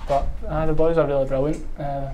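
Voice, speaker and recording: A young Scottish man speaks quietly and modestly after a match, pausing often with fillers. His voice sits a little high but stays flat and mellow. A faint background hum runs under the indoor recording. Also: dull audio. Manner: soft-spoken, modest, hesitant with fillers. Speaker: footballer. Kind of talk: post-match interview. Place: indoors in front of a club sponsor board.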